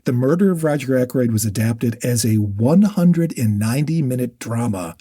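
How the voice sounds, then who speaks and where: A man's voice: mid-range voice, lively intonation, moderate pace, mellow, husky, no echo, clean studio sound. A man co-hosting a podcast, casually explaining and chatting about books, emphatic and prone to repeating himself for effect. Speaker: podcast co-host. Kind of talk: casual book chat with explanations.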